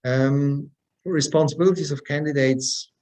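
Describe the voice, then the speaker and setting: A man speaking as an embassy representative in a webinar, presenting information in a measured, explanatory and promotional way. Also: on the high side, fairly even intonation, a little mellow.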